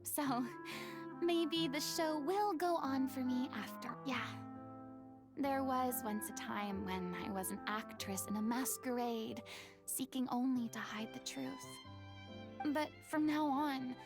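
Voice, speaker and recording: High, creaky-edged refined young stage star. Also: female voice, takes it slowly. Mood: reflective. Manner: eloquent, formal, theatrical. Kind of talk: English video game voice acting. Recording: music playing behind the voice.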